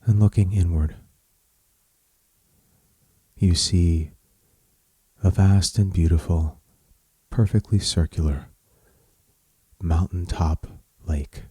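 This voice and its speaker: Very slow, deep-voiced man guiding a meditation. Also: steady tone, dark tone, breathy edge, dry recording. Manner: measured, soothing, pausing between short phrases. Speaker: meditation guide. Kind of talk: guided meditation narration.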